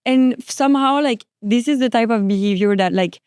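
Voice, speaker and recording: A woman's voice: a little high, moderate pace, a little mellow, clean tone, dry recording, clean studio sound. A woman guest on a podcast chatting casually, hesitant and full of "like" and restarts. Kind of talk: casual chat.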